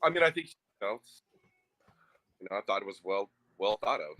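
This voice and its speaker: A man's voice: fairly low pitch, very animated, moderate pace, sharp tone. A Native Hawaiian man talking things through on a podcast, hesitant, with many fillers and restarts. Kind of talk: casual discussion.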